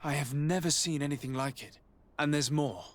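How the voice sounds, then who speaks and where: An earnest noble envoy from a video game, played by a man. His voice sits a little high for a man. He speaks formally and seriously, in measured sentences, like someone of firm principle. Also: lightly acted. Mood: serious, reporting. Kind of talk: dramatic video game acting.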